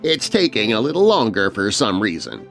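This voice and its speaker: A man's voice: fairly low pitch, big pitch swings, moderate pace, gravelly, a bit bright, faint music behind, pitched below the performer's own voice, heavily acted. A man voicing a placating, reassuring aunt in a spooky children's story. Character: calm, placating aunt hosting a family dinner.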